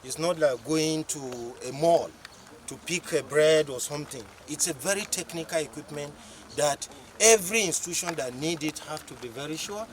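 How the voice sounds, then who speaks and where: Halting official speaking off the cuff. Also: male voice, fairly high pitch. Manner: halting, repeats words while searching. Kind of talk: unscripted remarks to press.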